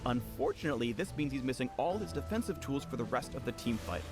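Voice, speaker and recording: Lively male game narrator over music. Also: mid-range voice, fast talker. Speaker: champion spotlight narrator. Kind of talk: scripted game promo narration. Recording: music bed under the narration.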